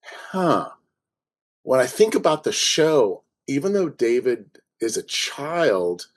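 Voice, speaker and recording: A man's voice: mid-range voice, sing-song, slow pace. A man chatting casually as a podcast guest, a writer explaining his approach in a thoughtful, tentative way. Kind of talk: casual interview chat.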